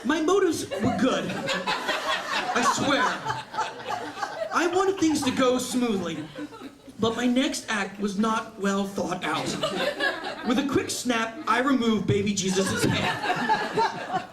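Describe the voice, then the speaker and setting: A man, an author, reading his humorous short story aloud to an audience as an animated comic storyteller. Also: extremely high pitch, slow pace, pauses often. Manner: animated comic storyteller, acts out quoted lines. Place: indoor bookstore reading event. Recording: echoey bookshop room, distant mic, audience noise. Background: laughing audience.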